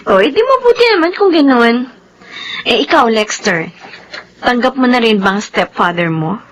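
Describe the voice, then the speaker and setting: A woman's voice: on the high side, big pitch swings, normal speed, slight background noise. An actress playing an apprehensive, inquisitive young Filipino girlfriend in a radio soap opera. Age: young adult.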